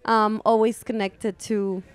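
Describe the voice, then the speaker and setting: Smooth-voiced woman in her thirties. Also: a little high, level tone, takes it slowly. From Ecuador. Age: 30s. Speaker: guest, trail runner. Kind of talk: interview chat. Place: pop-up store at a race event.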